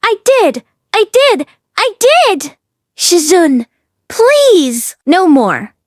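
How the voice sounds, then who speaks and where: Young male disciple for an audiobook, played by a woman: high, pitiful, stubborn, pleading. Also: sing-song, drawn-out words, dry recording, clean studio sound, heavily acted. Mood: agonized. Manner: aggrieved, pleading.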